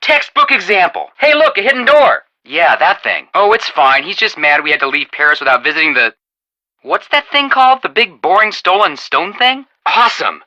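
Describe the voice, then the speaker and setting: Young male game sidekick sleuth, wisecracking and excitable, highish animated voice, a little muffled recording. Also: moderate pace, full character voice. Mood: excited. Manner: chatty, joking, emphatic. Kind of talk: animated video game dialogue.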